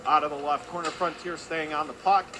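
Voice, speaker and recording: A male radio play-by-play announcer with a fairly high voice calls live hockey. He speaks in clipped running phrases that rise with the action. Clear arena noise sits behind him. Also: fairly even intonation, fairly quick, pushed and bright. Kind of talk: live radio sports commentary. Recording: clear background noise of an ice rink.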